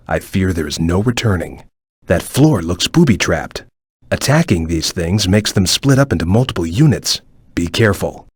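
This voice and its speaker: Serious careful game ninja giving hints, husky voice, really dry close recording, kinda formal. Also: male voice, low-pitched, no echo, exaggerated voice. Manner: measured, formal, serious and advisory. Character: stern, watchful cartoon ninja guide, calm and cautious. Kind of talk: video game voice acting, short hint lines.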